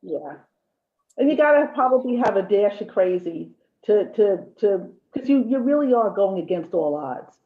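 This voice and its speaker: A woman's voice: mid-range voice, very animated, moderate pace. A woman in her fifties, a guest and the host's mother, chatting candidly and jokingly with reflective stretches. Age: 50s. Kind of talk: casual chat. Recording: tinny phone-like line.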